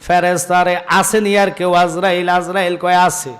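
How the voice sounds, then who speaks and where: A Bangladeshi man preaching an Islamic sermon, telling stories in an impassioned, declamatory way with drawn-out, chanted phrases. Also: sits high, flat delivery. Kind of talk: Bengali waz sermon, dramatic storytelling. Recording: long reverb from loudspeaker system.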